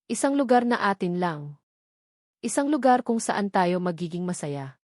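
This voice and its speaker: A woman's voice: medium pitch, lively intonation, moderate pace, darkish tone, dry recording, clean studio sound, lightly acted. A woman voicing a devoted, hopeful man in love in a story, earnest and tender. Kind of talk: storybook drama dialogue.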